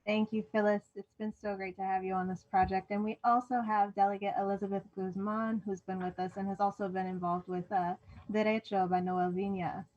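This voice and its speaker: A woman's voice: medium pitch, flat delivery, a little fast, a little mellow. Woman moderator, friendly handoffs, muffled lo-fi sound. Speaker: dramaturg, moderator. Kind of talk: moderating a panel. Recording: dull lo-fi sound.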